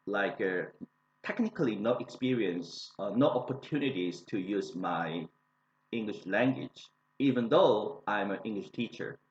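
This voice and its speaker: A man in his forties with a Korean accent, speaking English as a second language, talking about his life in a hesitant way with many pauses and restarts. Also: mid-range voice, echoey room, highs cut off. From South Korea. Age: 40s. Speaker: English teacher. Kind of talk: casual personal monologue.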